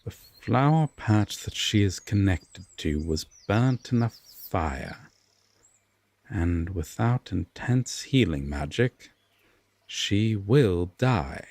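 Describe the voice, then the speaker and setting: A man playing an aloof, stern yet secretly tender Fae king in a roleplay audio drama, speaking formally and deliberately with long pauses. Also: lowish voice, lively intonation, a little mellow, no echo, full character voice. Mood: somber, knowing.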